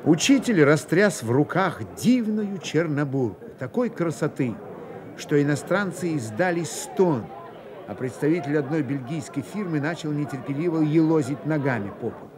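A man narrating a novel for radio in a measured, expressive storytelling manner. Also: fairly high pitch, crowd noise, background noise. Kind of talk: dramatised literary narration for radio.

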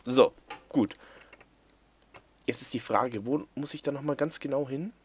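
A young man doing casual let's-play commentary, playful and quick to giggle, reading game lines aloud in silly voices. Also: mid-range voice, a little mellow, slight background noise. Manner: giggly, playful, breaks into laughter. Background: faint game music.

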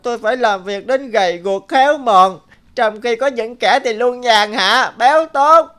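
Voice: medium pitch, measured pace, a bit bright, clean tone, close to an everyday voice. A performer voicing a weary, self-pitying clock second hand in a cartoon, complaining plaintively, with music behind. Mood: indignant. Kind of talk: animated fairy-tale cartoon dubbing.

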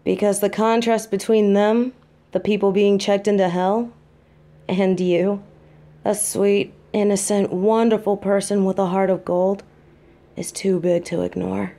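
A woman's voice: mid-range voice, level tone, a little slow, warm tone, close to an everyday voice. A woman playing a sweet weary demon girlfriend. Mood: affectionate. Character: sweet tired female demon girlfriend, affectionate. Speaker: voice actor in an audio roleplay. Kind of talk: intimate audio roleplay.

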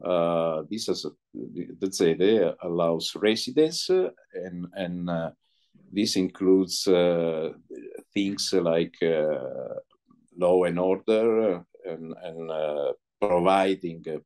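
Halting deep-voiced man on a video call. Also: a little mellow. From Italy. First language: Italian. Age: middle-aged. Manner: halting, many filler pauses. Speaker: interview guest, entrepreneur. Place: indoor room, light walls. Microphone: video call laptop mic.

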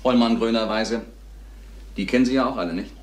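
A man's voice: mid-range voice, fairly quick, lightly acted. Echoey, probing businessman, played by a man. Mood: suspicious, probing. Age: adult, younger than the salesman. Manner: clipped, casual, probing. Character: polite but probing, suspicious younger businessman. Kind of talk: radio crime drama dialogue. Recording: echoey room with faint noise.

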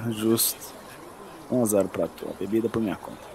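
A man's voice: on the low side, moderate pace, vocal fry, crowd noise. A man playing an easygoing small-town bartender in an audio drama, talking in a colloquial, familiar way. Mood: casual.

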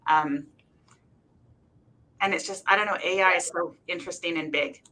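A woman's voice: on the low side, fairly even intonation, normal speed, sharp tone, slight background noise. A woman on a faculty panel, talking informally and casually over a video call. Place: office, on a group video call.

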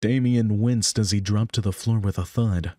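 A man's voice: lowish voice, steady tone, normal speed, warm tone. A man reading scripted story narration for a visual novel. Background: background music.

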